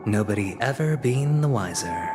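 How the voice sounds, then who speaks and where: An actor voicing a smooth, scheming young corporate envoy in a video game, theatrical, insinuating and playfully persuasive. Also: male voice, fairly low pitch, expressive, moderate pace, in a large hall, close to an everyday voice. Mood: confident persuasion. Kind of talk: video game character dialogue. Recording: music playing behind the voice.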